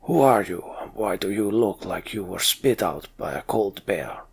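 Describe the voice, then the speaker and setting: A man's voice: fairly low pitch, animated, moderate pace, vocal fry, slightly rough, voice pushed down, heavily acted. A man voicing a blunt, defiant mercenary leader in a fantasy game. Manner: blunt, insulting.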